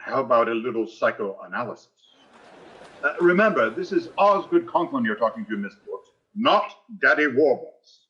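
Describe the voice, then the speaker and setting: A man's voice: medium pitch, big pitch swings, moderate pace, bright, forceful tone, dull audio. A man playing a pompous, blustering, tight-fisted principal in a theatrical radio sitcom style. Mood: pompous, condescending. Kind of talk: 1940s radio sitcom acting on stage.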